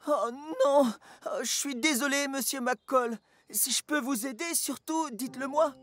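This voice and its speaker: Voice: sits high, measured pace. An actor voicing an earnest, eager, childlike little male electric locomotive in a children's cartoon. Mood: sorry, ashamed. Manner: makes imitation engine noises, sincere. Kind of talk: French-language children's cartoon voice acting.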